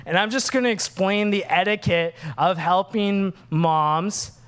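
A man's voice: high-pitched, measured pace, clean tone. A man, preaching a candid, humorous sermon as pastor. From Minnesota, USA. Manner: animated, candid, humorous, acts out dialogues.

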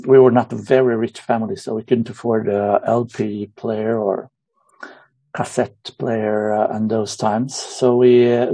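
A middle-aged man from Romania with a Romanian accent, talking about his life as a guest in an interview, hesitant and full of pauses and fillers. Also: medium pitch, mellow, no room sound. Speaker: guest musician. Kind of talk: casual interview.

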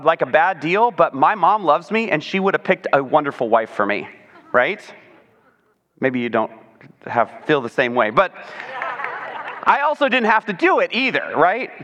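A man with a high voice giving an explanatory sermon in a conversational, informal way, tossing in rhetorical questions. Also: pushed and bright. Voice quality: high-pitched voice. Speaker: preacher. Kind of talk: sermon, explanatory Bible teaching. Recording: long hall reverb.

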